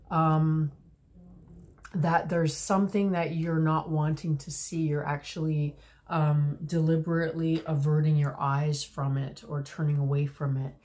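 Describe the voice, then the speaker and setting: Low, mellow-voiced woman reading tarot. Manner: gentle, unhurried, many fillers and restarts. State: slightly hoarse from a cold. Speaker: tarot reader. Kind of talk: intuitive tarot reading to camera. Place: small room at home, indoors.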